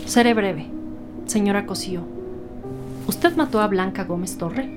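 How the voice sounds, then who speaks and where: A woman playing a firm, authoritative special agent who questions formally and deliberately in a crime audio drama. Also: mid-range voice, music in the background, close to an everyday voice. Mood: stern, controlled.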